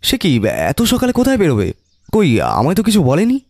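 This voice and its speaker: A man playing a detective's curious, eager companion who also narrates the audio mystery, with a husky voice. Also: a little high, huge pitch swings, moderate pace, darkish tone, exaggerated voice. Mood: surprised, curious. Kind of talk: audio story narration and dramatic dialogue. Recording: music behind the voice.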